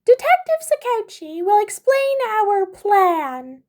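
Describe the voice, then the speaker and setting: Very squeaky, chipper creature principal. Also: female voice, very animated, takes it slowly, a little mellow, full character voice. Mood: brisk, formal. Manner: formal, cheerful, precise phrasing. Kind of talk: fan fiction audiobook character voice.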